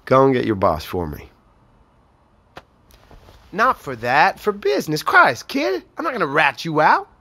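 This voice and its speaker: A man's voice: a little high, huge pitch swings, a little slow, long pauses, sharp tone, exaggerated voice. A man playing a breezy, needling small-town store owner in a naturalistic audio drama, folksy and amused. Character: breezy, teasing small-town male store owner, older than his employee.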